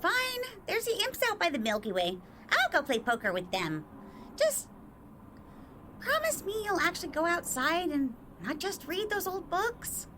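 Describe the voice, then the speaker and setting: A woman's voice: very high-pitched, sing-song, unhurried, full character voice. A woman voicing a perky young female tech pixie who teases and coaxes restlessly, heard over a noisy background hiss. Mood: sulky. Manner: teasing, bubbly and restless.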